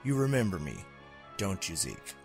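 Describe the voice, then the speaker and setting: An actor playing a cold, menacing bodyguard in an audio drama, controlled and taunting. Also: male voice, low-pitched, big pitch swings, normal speed, soft background music. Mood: cold menace. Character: cold, menacing male bodyguard interrogating a foe.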